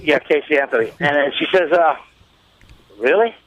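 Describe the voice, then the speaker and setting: A man's voice: on the high side, animated, moderate pace, a bit bright, no echo, muffled recording. A man telling a casual comic anecdote on talk radio, rambling along and acting out the dialogue as he goes. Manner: rambling storyteller, acts out quoted dialogue. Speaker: show guest telling a story.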